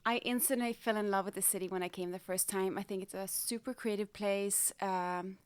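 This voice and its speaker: A woman's voice: mid-range voice, fairly even intonation, fairly quick, clear, resonant tone, no room sound, clean studio sound. A middle-aged woman from Denmark talking candidly and reflectively as a guest in a casual interview. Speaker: interview guest, fashion designer.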